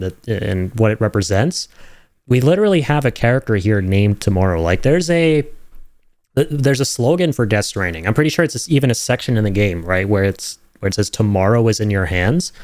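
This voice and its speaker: A man giving casual reaction commentary, rambling and thinking aloud with some excitement. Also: mid-range voice, dry recording, clean studio sound. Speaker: video creator reacting to game trailers.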